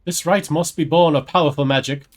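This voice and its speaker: A man voicing a troubled adventurer in a video game, speaking formally in a measured, earnest way. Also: on the high side, fairly even intonation, lightly acted. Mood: grave. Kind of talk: dramatic read of game dialogue.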